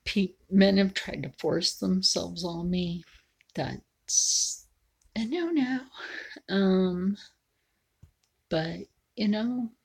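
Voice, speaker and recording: A woman in her forties talks slowly and casually to her viewers, her voice very husky and gravelly. She slips often into a hushed whisper, settling low in her range. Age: 40s. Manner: slow, frequently drops into a whisper. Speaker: video creator. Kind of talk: casual livestream chat while drawing.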